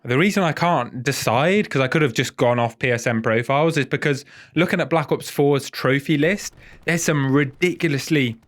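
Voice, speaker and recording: A man's voice: mid-range voice, moderate pace, a bit bright. A man with a head cold and a nasal voice, chatting casually and self-deprecatingly as host of a gaming video.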